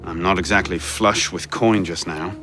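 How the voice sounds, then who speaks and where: An actor playing a serious young assassin in a video game, speaking in a terse, earnest, understated way. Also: male voice, sits low, steady tone, moderate pace, bright, forceful tone, faint music behind. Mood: earnest, subdued. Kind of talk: video game cutscene acting.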